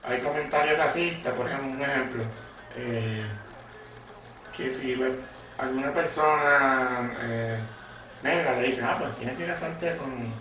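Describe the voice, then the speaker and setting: A man's voice: mid-range voice, moderate pace, over music. A man answering an interview question in a hesitant, thoughtful way, with many fillers. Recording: echoey, distant, dull with background noise.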